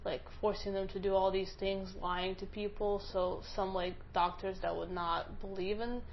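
A young college student from Russia, a native Russian speaker, answering interview questions casually with lots of "like" and quick self-corrections. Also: female voice, medium pitch, flat delivery, highs cut off, a little hiss or hum. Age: young adult.

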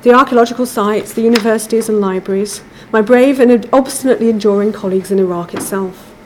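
A woman giving a measured, formal academic lecture from a prepared text. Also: a little high, a little hiss or hum. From England.

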